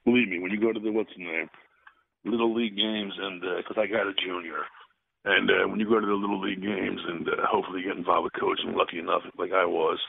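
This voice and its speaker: A middle-aged man calling into a sports radio show, deep, loud and friendly. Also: little pitch movement, fast, gravelly, husky, no room sound. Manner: friendly, calls host brother. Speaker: caller. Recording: tinny phone line.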